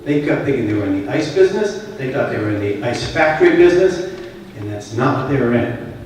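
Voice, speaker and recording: A man's voice: mid-range voice, a little mellow, slight background noise. A man with a Boston accent giving a conversational, emphatic keynote at a conference and putting questions to the audience. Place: large conference hall. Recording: echoey hall, voice from far off.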